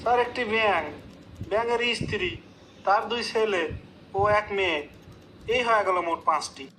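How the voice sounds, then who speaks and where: A man's voice: high voice, measured pace, bright, forceful tone, a little hiss or hum, rough recording. A man voicing a cheeky, literal-minded job candidate in a comic cartoon skit, earnest and deferential as he talks nonsense with confidence. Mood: earnest, confident.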